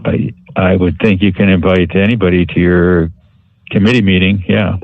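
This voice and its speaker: A man chairing a county board meeting in formal procedural language, with a somewhat halting delivery. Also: low-pitched, fairly even intonation, dry recording, phone-quality sound.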